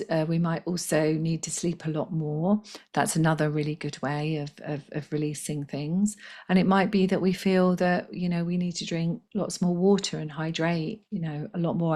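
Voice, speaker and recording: A woman with a slightly croaky voice, hosting a live spiritual healing session in a gentle, chatty way and reading out viewers' comments. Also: low-pitched. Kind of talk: casual live-stream talk.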